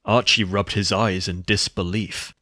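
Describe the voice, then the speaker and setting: Low-voiced man narrating horror fiction, dry sound. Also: steady tone, measured pace, bright, forceful tone, no room sound. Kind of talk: scripted horror story narration.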